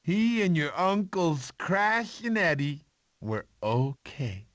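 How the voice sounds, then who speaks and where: A man's voice: fairly high pitch, very animated, slow. A man voicing a goofy, boastful, doting cartoon sloth uncle who tells bedtime stories theatrically and stumbles over his words. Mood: dramatic storytelling. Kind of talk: animated film voice acting, bedtime storytelling.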